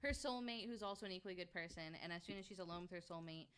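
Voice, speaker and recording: Bright-voiced woman in casual panel chat. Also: lowish voice, very fast, clean tone. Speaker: podcast panelist.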